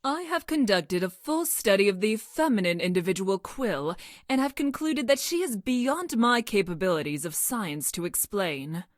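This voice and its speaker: A woman playing a logical young woman scientist from the future in a video game, speaking in formal, clinical, precise deadpan. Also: medium pitch, normal speed, few pauses, dry recording, studio quality, natural voice. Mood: deadpan affection. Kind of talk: video game character voice lines.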